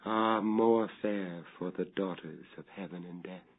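Dying wife in a gothic tale, played by a man: faint, grave, portentous, reproachful; dull vintage audio. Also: sits low. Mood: solemn, wistful. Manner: hushed, slow, portentous, archaic diction. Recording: dull, lo-fi old radio-like recording.

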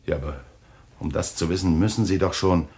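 An actor playing a skeptical, controlling journalist in a German radio thriller, with a deliberate, sardonic, interrogating manner. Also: male voice, deep voice, a little mellow, natural voice. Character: skeptical, controlling male journalist, suspicious husband.

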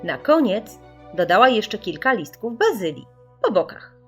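A woman reading a children's story in an expressive, theatrical storytelling manner. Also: medium pitch, unhurried, husky, faint music behind. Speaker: audiobook narrator.

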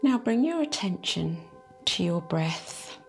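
A woman leading a guided meditation in a soft, soothing, unhurried way. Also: lowish voice, sing-song, gravelly. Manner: slow, soft and soothing, drawn-out phrases. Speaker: meditation teacher. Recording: faint music behind the voice.

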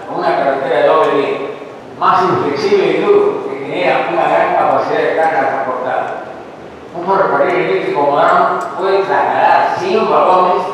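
An older man from Peru, giving a measured lecture presentation and listing points one by one with rising emphasis. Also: a little high, a little hiss or hum. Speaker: conference speaker. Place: wood-panelled auditorium with seated audience. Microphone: far camera, room sound. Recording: echoey hall recorded from far off.

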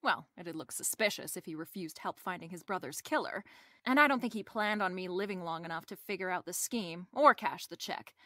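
A woman's voice: lowish voice, a little fast, fairly bright tone, no echo, studio quality, close to an everyday voice. An actress playing a wry, confident female private detective in a radio drama, with a breezy, slangy, wisecracking delivery.

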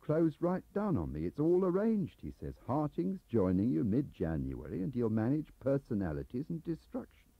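A man's voice: medium pitch, huge pitch swings, moderate pace, gravelly, hardly any pauses, no room sound, voice pushed up, heavily acted. A man voicing an aggrieved, lonely middle-aged English clerk who rambles defensively, in an audiobook on a dull cassette recording.

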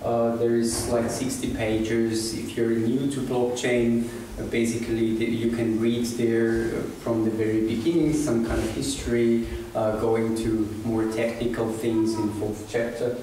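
A middle-aged man gives a casual presentation in a small meeting room, pausing often with fillers and restarting his sentences. His voice stays flat in pitch. He is heard from a distance, with strong room echo and clear background noise. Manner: hesitant, many fillers and restarts. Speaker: meetup organizer presenting. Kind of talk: informal presentation talk. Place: small meeting room with seated audience, indoors. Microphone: room camera from the back of the audience. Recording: echoey room, distant from camera, noisy.

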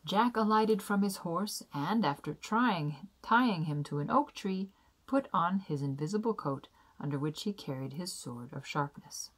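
Husky, low-voiced woman storyteller. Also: expressive, measured pace. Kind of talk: reading a children's fairy tale aloud. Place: small indoor room.

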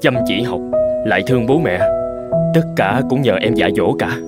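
Man acting tender grateful father, cartoon drama, gentle family talk, loud music underneath. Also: fairly low pitch, moderate pace, close to an everyday voice.

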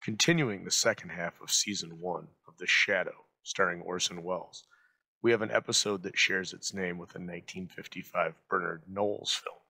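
Man, host, scripted introduction, very low voice, bright tone, deliberate pace. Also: steady tone, vocal fry, poor audio. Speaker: channel host.

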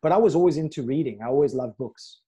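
Mellow-voiced middle-aged man from South Africa. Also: mid-range voice, breathy edge. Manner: earnest, steady storytelling with pauses and fillers. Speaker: podcast guest. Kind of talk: candid life story in a conversation. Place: small room with a plain wall. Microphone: wired earbud mic on a video call.

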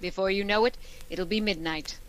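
A woman playing a quick-witted, sardonic schoolteacher with dry delivery in an old-time radio comedy. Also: mid-range voice, lightly acted. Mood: wry. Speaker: lead actor in a radio play recreation. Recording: clear background noise.

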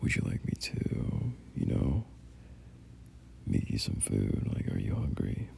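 Very deep, gravelly, murmuring boyfriend acted by a man. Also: huge pitch swings, takes it slowly, warm tone, slight background noise, full character voice. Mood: tender, soothing. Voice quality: breathy, half-whispered, gravelly low murmur. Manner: soothing, hesitant, murmured reassurance. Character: gentle, doting boyfriend comforting an unwell partner. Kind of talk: boyfriend ASMR roleplay.

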